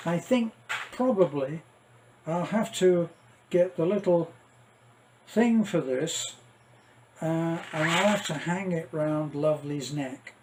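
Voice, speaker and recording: A man's voice: on the high side, slow, long pauses, darkish tone, rough recording. Older guy vlogging, takes his time, wry self-deprecating asides, bit of background noise. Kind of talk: casual rambling vlog chat to viewers.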